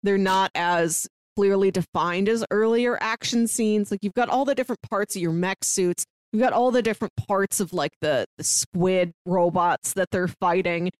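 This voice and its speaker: A woman's voice: mid-range voice, moderate pace, few pauses, no echo. Dry-sounding woman podcast co-host. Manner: chatty, wry, laughing asides. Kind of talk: casual chat.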